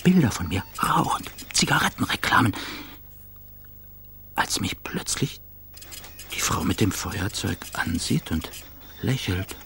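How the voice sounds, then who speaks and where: A jittery, obsessive man pours out his cravings in a radio play monologue. His voice is fairly low and gravelly, breathy and intense, often near a whisper. There is faint noise behind him. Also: sing-song, a little slow, pauses often, bright, forceful tone, heavily acted. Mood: yearning, obsessive.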